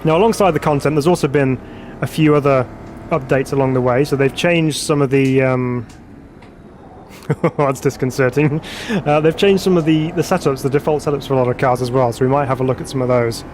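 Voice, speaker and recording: A man's voice: mid-range voice, fairly quick, darkish tone, a little hiss or hum. A man, stuffed up from a cold, giving chatty live commentary while driving a racing sim and reading out viewer chat. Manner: chatty and self-laughing, reads viewer chat aloud. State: congested from a cold.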